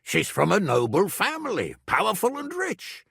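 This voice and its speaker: A man playing a middle-aged medieval lord and guardian in a video game, blunt, commanding and earthy, with a gravelly, raspy voice. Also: high voice, huge pitch swings, moderate pace, fairly bright tone, no room sound, clean studio sound, heavily acted. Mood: weary, firm. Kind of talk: dramatic video game dialogue.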